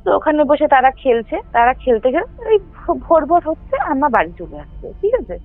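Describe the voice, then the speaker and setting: A woman telling a ghost story casually as a caller, halting, repeating and correcting herself as she goes. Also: sits high, big pitch swings, no echo. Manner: halting, repetitive, self-correcting. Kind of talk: casual spoken storytelling with frequent check-in questions. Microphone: phone line. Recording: tinny phone call with music underneath. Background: music.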